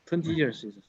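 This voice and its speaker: A middle-aged man from China, chatting in halting English with his online tai chi class over a video call. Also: on the high side, a little mellow, husky. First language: Chinese. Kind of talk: casual chat with online class. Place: martial arts hall. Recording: slightly dull video call audio.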